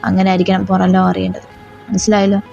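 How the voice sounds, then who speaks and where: A woman voicing a cold, threatening young male mafia boss who talks slowly and possessively. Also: monotone, vocal fry, warm tone. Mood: menacing. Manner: slow, low, possessive and threatening. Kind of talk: Malayalam fan audio drama. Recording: slightly dull lo-fi recording with faint music.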